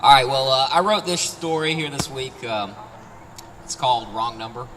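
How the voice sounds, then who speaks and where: A man reads his own short story to a live gathering in a conversational narrative style. His voice is on the low-middle side of ordinary and comes from a distance, with long reverb. Noise runs clearly behind him. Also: moderate pace. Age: 30s. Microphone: handheld microphone, picked up from afar.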